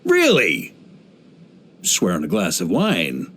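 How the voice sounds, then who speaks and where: An actor playing a hearty, easygoing veteran knight grandmaster in a video game, speaking in an expressive, playful, conversational way. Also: male voice, sits low, takes it slowly, slightly rough, heavily acted. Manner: jovial, expressive, playful, chuckling asides.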